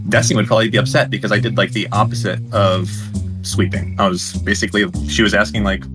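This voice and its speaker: A man's voice: fairly low pitch, level tone, fast talker. A man talking casually on a livestream, rambling and defensive, with many fillers. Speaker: livestream guest. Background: guitar music.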